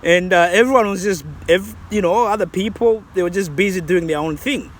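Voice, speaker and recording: A man's voice: high-pitched, a little fast, a little hiss or hum. A man, talking casually and reflectively in a vlog, rambling with many restarts. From Zambia. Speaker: vlogger.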